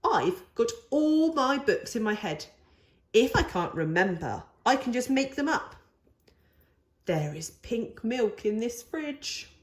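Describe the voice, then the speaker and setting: A woman's voice: fairly high pitch, big pitch swings, slow pace, echoey room, voice pushed up. A woman voicing a fussy, imaginative little girl in a storybook, with emphatic, wordy, childlike phrasing. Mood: confident, matter-of-fact.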